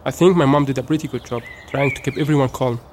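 A man's voice: medium pitch, quick pace, a little mellow, slight background noise. Earnest young man from Syria. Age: young adult. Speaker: interviewee.

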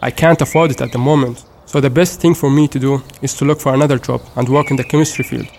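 A young man from Syria, speaking in an earnest, measured way as the one being asked questions. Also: medium pitch, fairly even intonation, gravelly, a little mellow. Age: young adult. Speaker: interviewee.

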